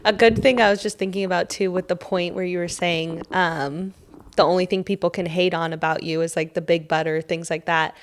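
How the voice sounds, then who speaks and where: Young woman podcast host, chatty, says like a lot, lowish voice. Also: steady tone, a little fast, slight background noise. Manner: agreeable, chatty, lots of filler "like". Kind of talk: casual interview chat.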